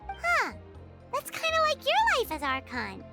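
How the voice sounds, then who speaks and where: Tiny greedy companion girl, very high squeaky voice, lively swooping pitch, loud game music. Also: unhurried, heavily acted. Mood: thoughtful. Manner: refers to herself in the third person. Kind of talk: game voice acting.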